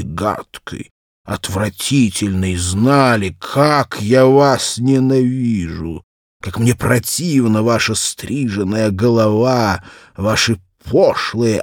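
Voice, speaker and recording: A man's voice: on the low side, slow pace, no echo, studio quality, voice pushed up. A man voicing a young governess seething with passionate hatred, speaking emphatically through clenched teeth. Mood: seething, disgusted.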